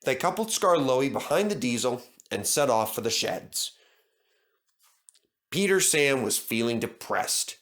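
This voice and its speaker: A man reading a children's storybook aloud as narrator. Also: medium pitch. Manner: expressive, measured.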